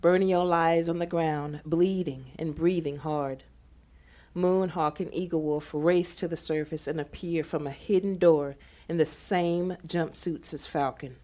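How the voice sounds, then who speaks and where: A woman reading scripted audio drama narration in a measured, descriptive manner. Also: low voice, steady tone, mellow.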